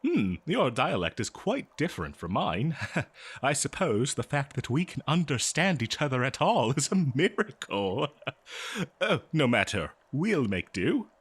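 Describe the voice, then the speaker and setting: A man playing a courteous, eager and nervous scholar in a fantasy audio roleplay, speaking politely and haltingly to the listener. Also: medium pitch, big pitch swings, breathy edge. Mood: friendly, eager. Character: eager, nervous, courteous male human professor of ancient civilizations.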